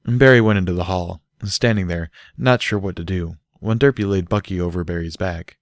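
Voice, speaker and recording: Low-voiced man reading a story. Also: moderate pace, no echo, clean studio sound. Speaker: audiobook reader. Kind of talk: scripted story narration.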